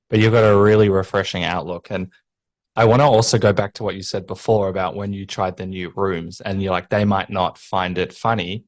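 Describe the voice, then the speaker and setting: Low-voiced man hosting a casual podcast. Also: no room sound. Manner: enthusiastic, encouraging, rambling questions. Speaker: podcast host. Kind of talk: casual interview chat.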